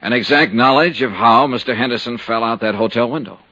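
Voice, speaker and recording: An actor playing a hard-boiled, wry insurance investigator in 1950s radio detective style, clipped and self-assured. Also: male voice, mid-range voice, a little fast, bright, forceful tone, no echo. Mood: dry, persistent. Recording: dull old radio recording.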